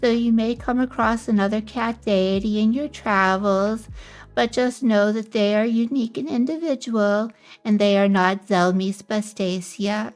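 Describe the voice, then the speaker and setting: A woman streamer giving a casual self-introduction, talking in a meandering way with many pauses. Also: medium pitch, fairly even intonation, rough recording. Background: faint background music.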